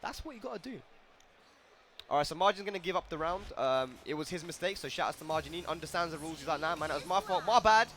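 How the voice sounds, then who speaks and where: A man commentating a fighting game tournament, excitable and joking. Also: fairly high pitch, fast. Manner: excitable, laughs often, jokes around. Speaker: esports commentator. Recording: commentary over loud game music.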